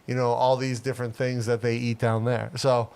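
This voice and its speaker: A man in his forties co-hosting a casual livestream chat, relaxed and full of "like" fillers, laughing often. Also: medium pitch, moderate pace, creaky, clean tone. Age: 40s. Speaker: livestream co-host.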